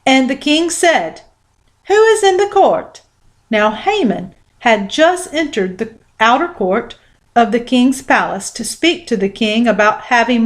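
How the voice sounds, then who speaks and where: A woman reading scripture aloud as scripted narration, with measured, deliberate phrasing. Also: a little high. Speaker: Bible reader.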